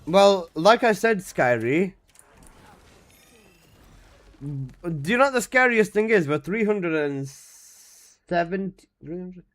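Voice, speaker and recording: Twenties male streamer, slightly high voice, very lively pitch, casual and swearing. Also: slow, pauses often. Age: 20s. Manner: animated, protests loudly, swears casually. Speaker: game streamer. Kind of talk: casual live-stream chat reading chat messages.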